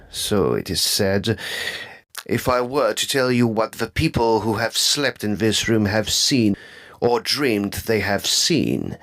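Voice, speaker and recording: A man's voice: fairly low pitch, vocal fry, full character voice. A man playing an evasive, nervous Edwardian house owner in an audio drama, guarded and formal.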